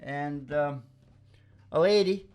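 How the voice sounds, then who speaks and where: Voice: mid-range voice, takes it slowly, a little mellow, clear, resonant tone. A host of a music rehearsal show chatting casually, telling rambling, salty, folksy stories between songs. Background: acoustic guitar.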